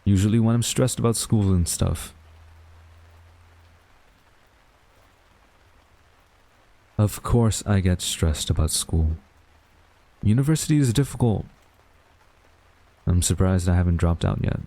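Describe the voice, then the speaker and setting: Deep-voiced, grumbling tsundere college guy played by a man. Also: expressive, creaky, dark tone, rough recording. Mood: casual, guarded. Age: young adult. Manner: flustered, grumbling, halting denials. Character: tsundere young male university student rival, defensive, secretly smitten. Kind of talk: romantic audio roleplay acting.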